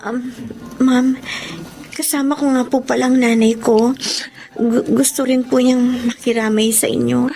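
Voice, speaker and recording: A dutiful young Filipino daughter in a radio drama. Her voice is high, and she speaks politely and earnestly. Soft music plays behind her. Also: flat delivery, unhurried, gravelly, pauses often. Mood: sympathetic. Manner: respectful, earnest.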